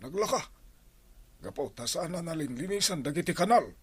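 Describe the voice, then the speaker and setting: Husky Ilocano village woman. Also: low-pitched, lively intonation, normal speed. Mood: griping. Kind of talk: radio comedy drama acting.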